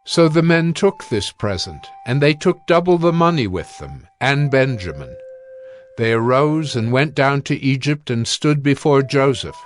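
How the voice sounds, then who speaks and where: A man narrating a dramatized Bible reading in a steady, measured way. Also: mid-range voice, soft background music.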